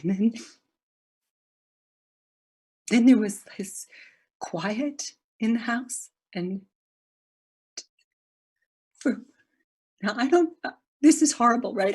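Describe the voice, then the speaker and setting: An actress playing a guilt-ridden woman in a Zoom play, giving a halting, emotional confession that swings from loud to hushed. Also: medium pitch, husky. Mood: ashamed, distraught. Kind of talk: dramatic monologue in a Zoom play. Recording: video call audio.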